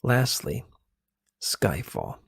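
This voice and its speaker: A man with a low, husky voice hosts a conversational ASMR commentary. He talks in a hushed, halting way, often searching for his words. The sound is close and dry. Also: huge pitch swings, no room sound. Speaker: video host.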